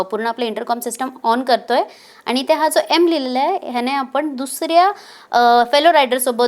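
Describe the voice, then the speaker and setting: A woman speaks in a somewhat high-pitched voice. She presents a hands-on product demonstration in an informal vlog style. Her explanation is a little hesitant and sprinkled with English words. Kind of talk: casual unboxing and installation demonstration.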